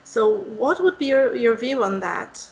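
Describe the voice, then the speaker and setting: A Portuguese-speaking woman from Brazil hosts the interview in English with a clear Brazilian accent. Her voice sits a bit high, and she talks through her thoughts casually with small fillers. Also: measured pace, a little mellow, low-quality recording. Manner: conversational, reflective, with fillers. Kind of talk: podcast interview.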